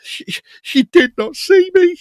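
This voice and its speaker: An actor playing a terrified landlord in a ghost story audio drama, stammering and repeating himself. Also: male voice, extremely high pitch, expressive, takes it slowly, no echo, exaggerated voice. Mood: shaken, fearful. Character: terrified, babbling male landlord witnessing a ghost.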